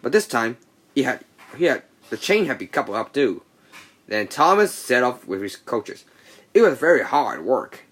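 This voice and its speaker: A man narrating a children's read-along story in a halting, stumbling way. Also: mid-range voice, big pitch swings, fairly bright tone. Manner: halting, stumbling reading with slips. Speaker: storybook reader.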